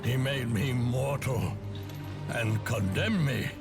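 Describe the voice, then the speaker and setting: An actor playing a tormented, weary Titan in a video game cutscene, speaking in halting, anguished phrases. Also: male voice, mid-range voice, loud music behind the voice. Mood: bitter, anguished. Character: Prometheus, tormented, weary chained Titan, suffering and pleading.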